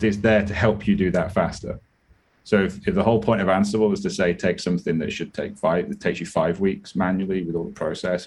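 A man's voice: low-pitched, a little mellow, slightly rough. A British man taking part as a guest in an interview, explaining his views in a conversational way and thinking aloud with small restarts. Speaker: guest, product director.

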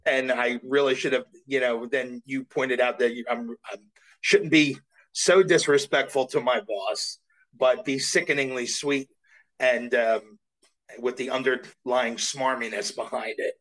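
A man's voice: mid-range voice, fairly even intonation, measured pace, fairly bright tone, poor audio. A middle-aged man as an interview guest, talking earnestly and self-deprecatingly with many restarts. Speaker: voice actor guest. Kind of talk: casual interview chat.

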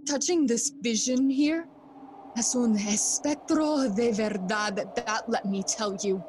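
A grieving young prince in a Shakespearean audio drama. The voice is high and very deliberate, with impassioned theatrical phrasing. Faint ambient noise sits behind it. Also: female voice. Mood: urgent, secretive. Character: grieving, feverish young prince sworn to vengeance.

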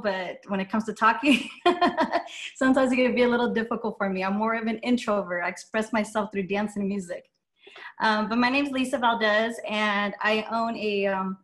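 A woman's voice: medium pitch, fairly bright tone. A middle-aged woman from Las Vegas whose first language was Spanish, telling her life story casually with many ums. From Las Vegas, USA. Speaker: guest speaker, dance studio owner. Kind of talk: personal story talk.

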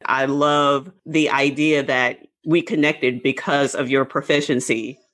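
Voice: medium pitch, fairly even intonation, unhurried, a bit bright. A podcast host introducing a guest and asking questions in an upbeat, encouraging way. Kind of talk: podcast interview.

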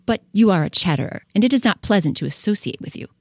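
A woman's voice: medium pitch, expressive, quick pace, slightly rough, no room sound, studio quality. A woman playing a conceited, preachy hen who scolds in a full-cast storybook dramatization. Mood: scolding, self-righteous. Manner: bossy, preachy, self-righteous.

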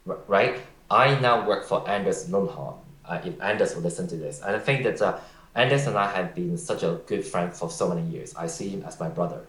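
Guy, lowish voice, giving blunt job tips, kinda echoey room. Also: a little fast, a bit bright. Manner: direct, advice-giving, loose grammar. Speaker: podcast guest. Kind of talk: casual conversation.

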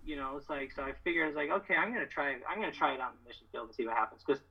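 A middle-aged American man with a husky voice, telling stories as a podcast guest in casual, slangy, emphatic, boastful talk. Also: a little high, expressive, very fast, muffled recording. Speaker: podcast guest, door-to-door solar salesman. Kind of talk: casual, animated storytelling.

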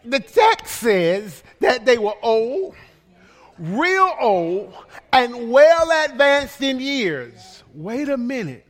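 A man's voice: extremely high pitch, big pitch swings, very slow, fairly bright tone, in a large hall. A male pastor preaching a sermon in a playful way, with lots of repetition and call-and-response to the congregation.

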